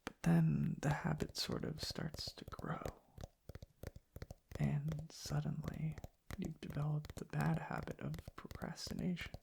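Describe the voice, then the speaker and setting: A man softly rambling in an ASMR style, hushed and halting, drifting into whispers close to the mic. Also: a little high, level tone, vocal fry, noisy background. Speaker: ASMR video creator. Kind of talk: soft-spoken ASMR ramble. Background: tapping and clicking.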